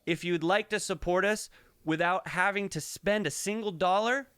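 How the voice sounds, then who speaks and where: Joking, higher-voiced young man interrupting. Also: normal speed, fairly bright tone, clear, resonant tone, no echo, clean studio sound. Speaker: podcast co-host. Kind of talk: casual chat.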